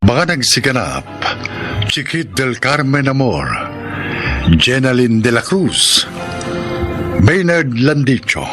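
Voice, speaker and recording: A man's voice: medium pitch, big pitch swings, slow pace, pauses often. A Filipino man giving solemn, scripted radio drama narration and credits as an announcer. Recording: radio broadcast with prominent music.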